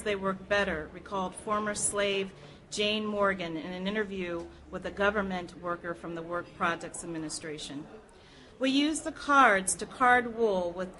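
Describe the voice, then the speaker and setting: A woman of medium pitch reads nonfiction aloud to a formal standard. Her delivery is earnest and measured, as at a public reading of history. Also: pushed and bright. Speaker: reader at a public library program.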